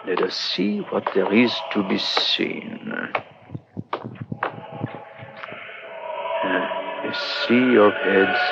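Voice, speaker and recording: An actor playing a shrewd, self-assured French police detective in an old radio drama, musing precisely and theatrically to himself and others. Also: male voice, lowish voice, gravelly. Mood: musing, deliberate. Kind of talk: old-time radio drama monologue and dialogue. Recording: muffled, noisy old radio recording.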